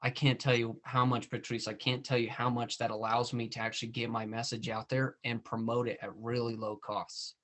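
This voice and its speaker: A man's voice: medium pitch, little pitch movement, a little fast, hardly any pauses, a bit bright. A man hosting a podcast, chatting casually with lots of "you know" and "I mean". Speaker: podcast host. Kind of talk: casual interview chat.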